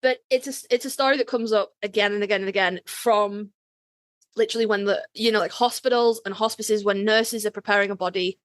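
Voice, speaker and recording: A woman's voice: medium pitch, steady tone, a little fast, dry recording. A woman hosting a podcast in casual, conversational chat. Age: adult, parent. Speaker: podcast host.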